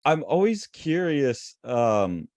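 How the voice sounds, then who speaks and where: A man's voice: mid-range voice, sing-song, takes it slowly, darkish tone, dry recording, studio quality. A man co-hosting a casual art discussion, talking loosely and eagerly with many fillers and restarts. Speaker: co-host.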